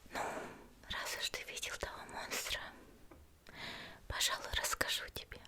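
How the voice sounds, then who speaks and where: A woman acting as a young female demon slayer healer speaks in a soft, airy whisper with a very bright, crisp edge. She is gentle and composed, with a soothing, politely teasing manner. It is a close-miked anime ASMR roleplay, unhurried and intimate. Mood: gently curious, then calm, confiding. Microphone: close ASMR microphone.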